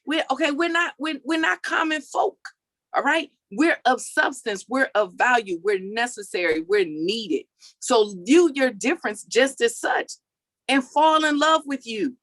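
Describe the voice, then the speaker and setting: A woman's voice: high-pitched, a little slow, a bit bright, no room sound. A woman in her forties with a country accent that mixes Texas and Maryland, giving an emphatic motivational talk and often checking in with "okay" and "all right.". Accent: mix of Texas and Maryland country. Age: 40s. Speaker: motivational speaker.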